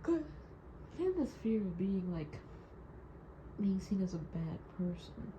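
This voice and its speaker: A woman's voice: medium pitch, big pitch swings, measured pace. A young woman recording a personal audio diary, rambling and hesitant with many "like"s, hurt and wry as she holds back tears. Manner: rambling, hesitant, heavy use of "like". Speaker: audio diarist. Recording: dull lo-fi recording with clear background noise.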